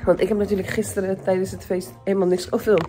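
A woman's voice: mid-range voice, flat delivery, quick pace, mellow, faint music behind. A woman, chatting casually to her phone camera, laughing often and dropping in English phrases. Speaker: vlogger. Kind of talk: casual vlog chat. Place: indoors, then in a parked and driving car. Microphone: phone at arm's length.

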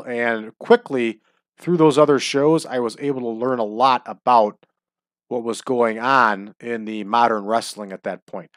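A man's voice: medium pitch, dry recording, clean studio sound. A man commenting casually on a wrestling management game at home, chatty and opinionated, his nose stuffed up from allergies. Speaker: let's-play host.

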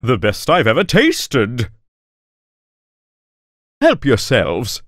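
Kids' folktale cartoon: amiable, sly wandering storyteller, expressive delivery, close dry sound. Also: male voice, mid-range voice, drawn-out words, no room sound, exaggerated voice. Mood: contented. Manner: amiable, courteous. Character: friendly, easygoing male traveler, sly storytelling companion. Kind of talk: animated children's story acting.

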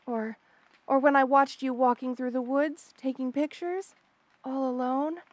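A woman plays an obsessive monster girl, half snake, in an audio roleplay. Her voice is on the high side and recorded close and dry. She gushes hesitantly, shy yet unsettling, stammering coyly as she confides. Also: steady tone, unhurried, no room sound. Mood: shyly obsessive. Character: obsessive lovestruck female rattlesnake lamia, shy yet unsettling. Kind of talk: intimate audio roleplay monologue.

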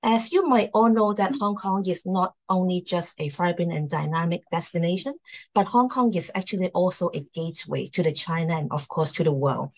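A woman's voice: lowish voice, brisk. A woman hosting and presenting in a formal slide talk over a video call. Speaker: host, university admissions presenter.

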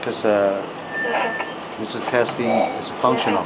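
Low-voiced man over loud factory noise. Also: fairly even intonation. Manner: halting, searching for words in non-fluent English. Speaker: factory guide. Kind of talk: informal walk-through explanation. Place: busy electronics factory floor, indoors. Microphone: handheld camera microphone. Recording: loud machinery noise, handheld camera sound.

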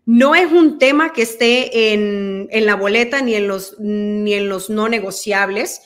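A woman's voice: mid-range voice, unhurried. A woman from El Salvador whose Spanish carries a Mexican-sounding accent speaks earnestly and with fervor over a laptop video call. Her talk is full of fillers and restarts, and she is recorded in a dim room at home. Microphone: video call laptop mic.

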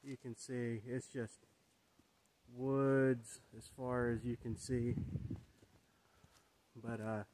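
A man's voice: mid-range voice, level tone, very slow, pauses often, a little mellow, a little hiss or hum, poor audio. Rambling man vlogging on a forest walk. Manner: rambling, reflective, many fillers. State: walking while talking. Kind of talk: casual walking vlog. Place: outdoors on a wooded trail. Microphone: phone or camera held at arm's length.

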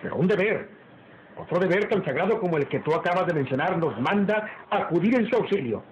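An actor playing a stern, proud master of the house in an old radio drama, speaking formally and emphatically. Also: male voice, high-pitched, lively intonation, brisk. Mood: stern, lofty. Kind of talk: old Latin American radio drama acting. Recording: muffled old radio serial, background noise.

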